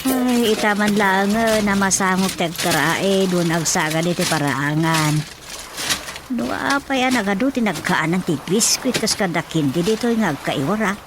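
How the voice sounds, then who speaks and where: A woman's voice: medium pitch, animated, measured pace, lightly acted. A woman with an Ilocano accent playing a skeptical, scolding member of a household, questioning and grumbling in a radio comedy. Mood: suspicious, grumbling. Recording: clear background noise and ambience.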